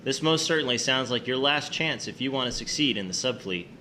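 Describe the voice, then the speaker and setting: An actor playing a stern, formal submarine captain who speaks curtly in a wartime naval drama. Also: male voice, medium pitch, lively intonation, a little fast, bright, forceful tone. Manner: curt, formal, commanding. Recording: faint background noise.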